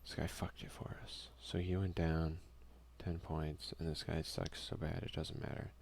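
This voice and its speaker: A man softly giving casual ASMR let's play commentary, muttering offhand asides and reading game text aloud. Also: a bass voice, little pitch movement, moderate pace, creaky, a little hiss or hum. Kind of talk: ASMR gaming commentary, soft-spoken and casual.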